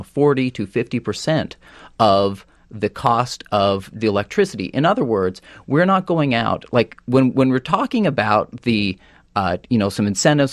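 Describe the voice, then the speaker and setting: An American man speaking as a policy guest on a radio talk show, often halting and rephrasing as he goes. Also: fairly low pitch, no room sound, studio quality. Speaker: energy policy director, panel guest.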